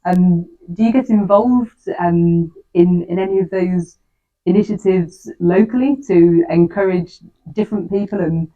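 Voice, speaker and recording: A woman's voice: fairly low pitch, unhurried, narrow, tinny audio. A woman interviewing in an encouraging, conversational way. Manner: encouraging, affirming.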